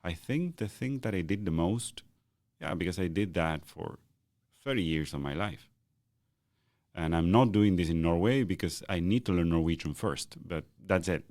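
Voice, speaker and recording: Man, very deep booming bass, reflective, halting restarts, dry close sound. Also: a little mellow, no room sound. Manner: thoughtful, halting with repeated starts. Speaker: podcast co-host. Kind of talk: reflective conversational chat.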